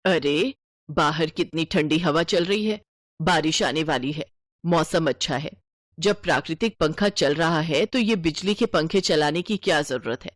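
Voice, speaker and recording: A woman's voice: low-pitched, measured pace, dry recording, studio quality. A woman voicing a stingy, scolding older mother-in-law in a cartoon story. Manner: bossy, penny-pinching, sharp-tongued.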